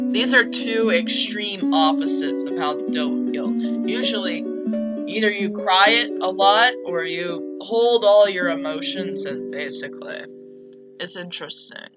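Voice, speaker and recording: A woman narrating a visual novel aloud, reading dramatically and breaking off into casual side comments. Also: lowish voice. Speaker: video game streamer and narrator. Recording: dull lo-fi mic with music behind.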